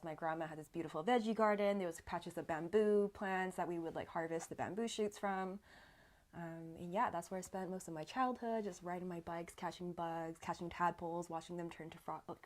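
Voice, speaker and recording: A woman from northern Taiwan speaking as an interview guest in a casual, conversational storytelling style. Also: on the low side. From rural northern Taiwan. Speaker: guest, multidisciplinary artist.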